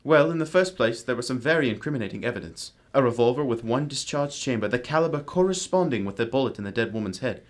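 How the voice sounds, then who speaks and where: A man playing a composed, courteous, analytical gentleman detective with a formal, measured manner in an amateur radio drama. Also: mid-range voice, lively intonation, lightly acted.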